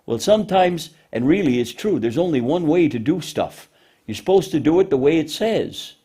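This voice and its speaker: A man's voice: fairly high pitch, animated, moderate pace, dark tone, slightly rough. A man hosting a children's puppet show, talking in a folksy, instructive way as he gives simple moral lessons.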